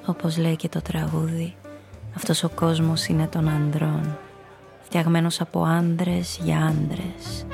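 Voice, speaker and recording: An actress playing a cynical, seductive femme fatale, confessing her story in an intimate, breathy way in a noir radio play. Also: on the low side. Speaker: narrator and lead character.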